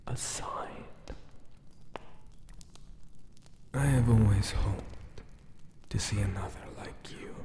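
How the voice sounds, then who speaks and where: A man voicing a lonely, ancient male jackal-god monster guarding a tomb, whispering breathily in a solemn, formal ASMR roleplay with deliberate pauses. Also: on the low side. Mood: musing, wistful.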